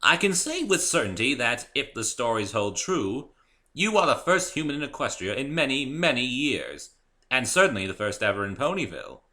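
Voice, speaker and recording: A man voicing a dignified middle-aged pony mayor, a female character who speaks in a formal, measured, official way in a story. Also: medium pitch, sing-song, sharp tone, voice pushed up. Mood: formal, scrutinizing.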